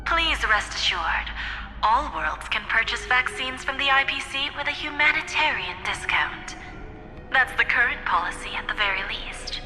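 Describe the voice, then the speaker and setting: A woman's voice: high voice, lively intonation, pushed and bright, exaggerated voice. Poised woman executive, echoey. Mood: smooth, assured. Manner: polished, measured, diplomatic. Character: poised, shrewd female corporate executive in space. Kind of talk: scripted game cutscene acting. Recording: echoey hall reverb, music underneath.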